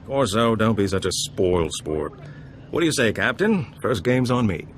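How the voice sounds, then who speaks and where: An actor playing a suave, calm information broker in a video game, speaking in a measured, wry and courteous way. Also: male voice, lowish voice, a little hiss or hum, lightly acted. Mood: playful, urbane.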